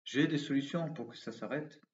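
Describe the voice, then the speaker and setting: A man's voice: on the high side, moderate pace. A man making an earnest, impassioned appeal straight to viewers, repeating slogans. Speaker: commentator. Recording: roomy, distant, dull sound.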